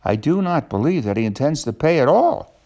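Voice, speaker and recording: A man's voice: a little high, sing-song, brisk, creaky, warm tone. An older man voicing a grumbling, self-assured tomcat shopkeeper from a children's storybook in blunt, formal phrases. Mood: suspicious.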